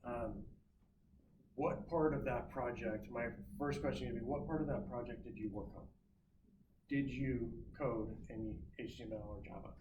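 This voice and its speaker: A man's voice: medium pitch, moderate pace, lo-fi sound. Man lecturing from far off in an echoey room. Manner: loose and conversational, many ums and restarts. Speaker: guest speaker, recruiter. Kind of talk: classroom talk giving career advice. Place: classroom. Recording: roomy, distant mic, noisy.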